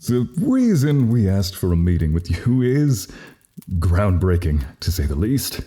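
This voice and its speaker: A man voices a courteous demon prince in an audio drama. His voice is low and mellow, and it swings widely in pitch as he speaks with earnest formality. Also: big pitch swings, unhurried. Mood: formal, polite.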